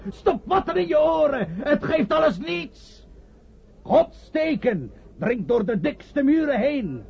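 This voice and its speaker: A man playing a fanatical street preacher in a radio drama, warning sinners of doom in solemn, accusing, drawn-out oratory. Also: very high voice, vocal fry, exaggerated voice. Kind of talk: declamatory radio-play sermon. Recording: muffled, noisy old radio drama recording.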